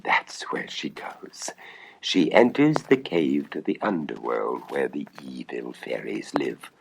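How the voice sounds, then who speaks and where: A man's voice: rumbling low, expressive, slow, vocal fry, noisy background, voice pushed down, full character voice. A man voicing a greedy, scheming old wizard with theatrical delivery, in a horror tale. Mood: sly, breathless. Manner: theatrical, incantatory.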